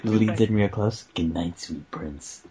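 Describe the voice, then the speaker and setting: A man's voice: fairly low pitch, slow pace, warm tone, poor audio. A man chatting casually and jokingly about a film with friends over a voice call. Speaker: co-host of a movie riffing show. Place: remote voice call, indoors. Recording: faint noise on voice call.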